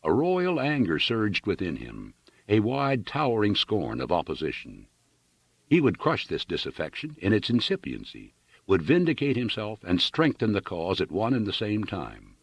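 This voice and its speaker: Deep, husky man reading dramatically. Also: huge pitch swings, normal speed. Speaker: audiobook reader. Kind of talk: dramatic literary narration. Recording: dull lo-fi, roomy sound.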